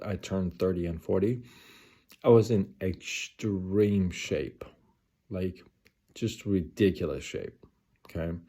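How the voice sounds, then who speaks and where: A man's voice: deep voice, mellow. A man in his forties, hosting a live stream, talking casually and rambling late at night with many fillers and repeats. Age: 40s.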